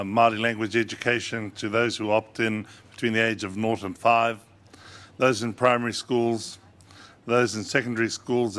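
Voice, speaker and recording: Low-voiced man explaining steadily. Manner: steady and explanatory, with occasional uh fillers. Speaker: panelist. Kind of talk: seminar answer.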